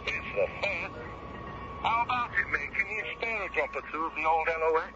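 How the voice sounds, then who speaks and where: Casting: irreverent British freighter skipper for sci-fi radio play, colloquial and wry, heard over narrow, noisy radio link. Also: male voice, fairly high pitch, lively intonation, fairly quick. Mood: wry, matey. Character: British space freighter captain, irreverent and matey. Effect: radio transmission filter. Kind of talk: radio drama acting. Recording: noisy, band-limited old radio drama transmission.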